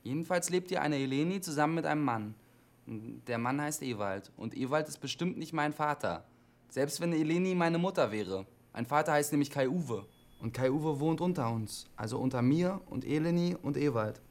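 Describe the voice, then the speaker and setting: Stubborn lonely young dude spinning stories on cb, voice a bit high, matter of fact, radio drama. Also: male voice, expressive, moderate pace. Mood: matter-of-fact, insistent. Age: young adult. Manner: stubborn, matter-of-fact storytelling in short clipped statements. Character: defiant, lonely 25-year-old man inventing stories on CB radio. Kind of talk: naturalistic German radio drama acting.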